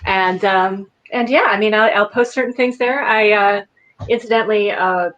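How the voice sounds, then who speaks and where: A woman's voice: mid-range voice, normal speed, a bit bright, muffled recording. A woman guest on a podcast, speaking hesitantly with many fillers. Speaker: guest, voice actor. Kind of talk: casual interview chat.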